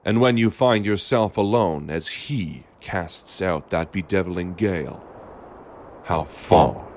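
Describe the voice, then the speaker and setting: Solemn dark-fiction narrator played by a man, very low voice, unhurried and portentous delivery. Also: a little hiss or hum. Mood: grave, brooding. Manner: slow, grave, portentous. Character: solemn, brooding male storyteller of dark fiction. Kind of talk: dramatic scripted prologue narration.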